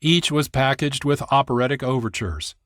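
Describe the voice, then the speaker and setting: Male documentary host, mid-pitched, scripted narration in a dry studio sound. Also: normal speed, a bit bright, no echo. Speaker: producer and host.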